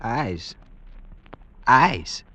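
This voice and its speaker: An actor playing a puzzled, solemnly dismissive blind villager, declaiming in archaic phrases in vintage radio drama style. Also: male voice, mid-range voice, very animated, drawn-out words, bright, forceful tone, dull audio, exaggerated voice.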